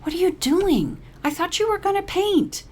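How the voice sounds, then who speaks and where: A woman's voice: very high-pitched, animated, moderate pace, darkish tone, pitched above the performer's own voice. A woman voicing an insistent, chiding old woman, a retirement-home friend in a comic story. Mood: chiding, puzzled.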